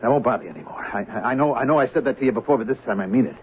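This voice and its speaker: An actor playing a bullying, hard-drinking, jealous husband in a 1970s radio drama. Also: male voice, mid-range voice, animated, very fast, natural voice. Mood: pleading, nervous. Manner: menacing, blustering. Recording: tinny, band-limited old radio broadcast, faint noise.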